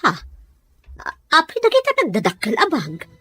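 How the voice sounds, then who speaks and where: A woman's voice: high voice, wildly swooping pitch, moderate pace, bright, forceful tone, no room sound, soft background music, heavily acted. A woman playing an anxious, fretful mother and lady of a well-off house in an audio drama. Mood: anxious, pleading. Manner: worried, fretful. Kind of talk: radio drama acting.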